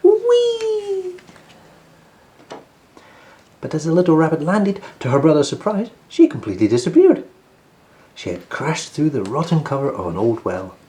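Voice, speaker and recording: A man narrating a children's storybook as host, in an expressive read-aloud style. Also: fairly high pitch, fairly quick.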